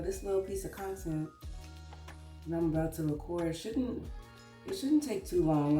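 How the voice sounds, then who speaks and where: A woman's voice: low-pitched, warm tone, echoey room, distant mic. A woman vlogger chatting casually while getting ready, sounding congested from a recent cold, calling the viewer "girl.". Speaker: vlogger and author. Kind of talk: casual get-ready-with-me chat.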